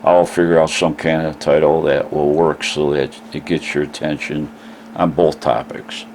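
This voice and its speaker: Older Midwestern man, scratchy and very deep, unhurried rambling vlogger, a faint hiss behind him. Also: little pitch movement, darkish tone. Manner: rambling, with many "uh" pauses. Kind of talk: casual vlog talk to camera.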